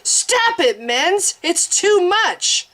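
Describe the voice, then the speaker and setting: A woman's voice: very animated, extremely slow, a bit bright, heavily acted. A woman voicing a fierce, desperate young priestess who screams in rage. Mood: enraged. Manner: shrill screaming outbursts.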